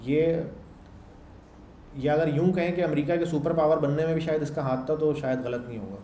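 A man's voice: fairly high pitch, fairly even intonation, normal speed, dark tone. Man lecturing in an echoey room. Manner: conversational, explains with checks like "samjh rahe ho" and "theek hai". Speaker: programming instructor. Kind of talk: casual classroom lecture. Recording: echoey room with faint background hum.

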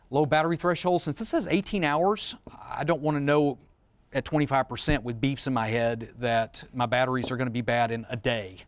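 A man's voice: mid-range voice, clean tone, no room sound. Man, middle-aged, informal technical presenter, plain-spoken, explanatory, casual asides, close dry wireless mic. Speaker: product presenter leading a seminar. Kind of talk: informal technical product demonstration. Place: studio room, seated at demo table among a small group.

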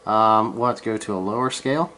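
A man giving a casual, hands-on gear demonstration, halting and unscripted as he thinks aloud from behind the camera in a room at home. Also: lowish voice, noisy background. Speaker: amateur radio hobbyist, presenter. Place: small room at home, indoors.

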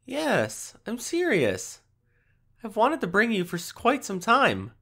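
Young man acting as a playful male fox spirit, unhurried, creaky voice, gentle, affectionate, teasing, boyfriend audio roleplay. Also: high voice, wildly swooping pitch, full character voice. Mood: playful, excited. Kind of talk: boyfriend ASMR roleplay, addressing the listener.

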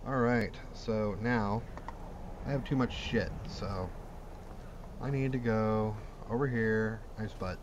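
Low-voiced man gaming over background noise. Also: steady tone, slow pace, muffled recording. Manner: blunt, sweary. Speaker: gamer reviewing a game mod. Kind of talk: casual gameplay commentary.

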